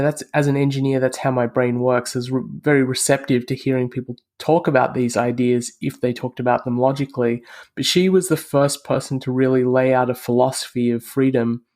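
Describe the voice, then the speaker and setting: A middle-aged man from Australia, a guest in an interview, explaining his views in a calm, reasoned way. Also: mid-range voice. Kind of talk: casual interview conversation.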